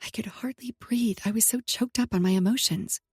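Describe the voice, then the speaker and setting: A woman narrating a romance audiobook in first person, soft and emotional. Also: on the high side, brisk, husky, no room sound, studio quality.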